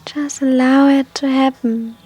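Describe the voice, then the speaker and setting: Female meditation guide, high breathy voice, very slow and soft, gentle instructional delivery with long pauses. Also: fairly even intonation, no echo.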